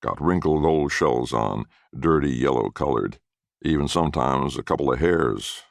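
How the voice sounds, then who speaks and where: Man as a creaky, ominous old tale-teller. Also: extremely low pitch, normal speed, dry recording, studio quality. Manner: drawling, folksy, ominous. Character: evil old man telling creepy tales slowly. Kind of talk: acted storytelling dialogue.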